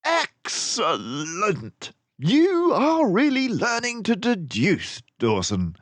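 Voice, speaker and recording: A man voicing a confident, gentlemanly detective mouse in a children's storybook, theatrical and self-assured. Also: high voice, wildly swooping pitch, drawn-out words, no echo, pitched above the performer's own voice, heavily acted. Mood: pleased. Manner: theatrical, self-assured, mannered turns of phrase.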